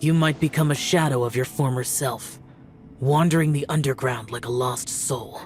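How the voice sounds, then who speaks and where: Woman playing a stoic young male warrior, low voice, solemn, measured, game drama over music. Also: darkish tone. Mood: grave warning. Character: stern, self-sacrificing young male immortal warrior. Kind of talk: dramatic video game voice acting. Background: faint game soundtrack music.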